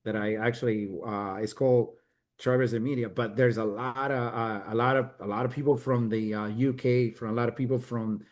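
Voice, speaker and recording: A man's voice: mid-range voice, fairly even intonation, a little fast, darkish tone. A middle-aged American man, a guest on a podcast, chatting casually in a rambling way with many restarts and repeated words. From United States. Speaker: guest, web developer. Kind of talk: casual interview chat.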